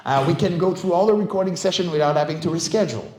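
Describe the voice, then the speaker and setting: Middle aged guy, native french speaker, casual talk on a mic in an echoey room, kinda high voice. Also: very fast, a little mellow. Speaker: guest speaker, voice director and vocal stunt coach. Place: indoor game developer meetup venue. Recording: roomy, echoing event space.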